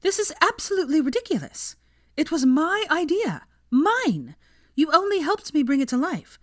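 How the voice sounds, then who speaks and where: Audiobook role: wounded, defiant young witch woman reader performing, high-pitched, emphatic, indignant. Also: very animated, normal speed, a bit bright, no room sound, studio quality. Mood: outraged. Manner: outraged outbursts and emphatic protest. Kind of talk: acted audiobook dialogue.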